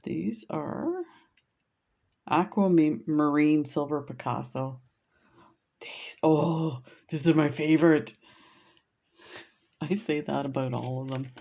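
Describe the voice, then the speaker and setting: A woman's voice: deep voice, takes it slowly, gravelly, long pauses, narrow, tinny audio. A middle-aged woman with a Canadian accent chatting casually and gushing as she unboxes beads for her vlog, with plenty of fillers. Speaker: beading vlogger.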